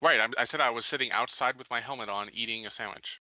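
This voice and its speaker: A man's voice: mid-range voice, fast, pushed and bright, no room sound, poor audio. A man playing a tabletop roleplaying game, describing what his character does.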